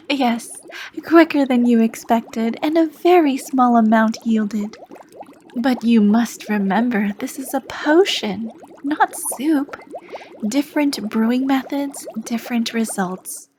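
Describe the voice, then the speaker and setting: A woman voicing a charming, sly female potion master as a shopkeeper in an ASMR roleplay, speaking softly and flirtatiously and often dropping into whispers. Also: on the high side, slight background noise, lightly acted. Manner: soft, flirty, drifts into whispers.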